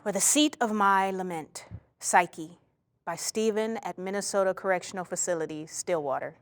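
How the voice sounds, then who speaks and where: A woman's voice: medium pitch, normal speed. A woman performer giving a spoken-word reading. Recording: guitar music behind the voice.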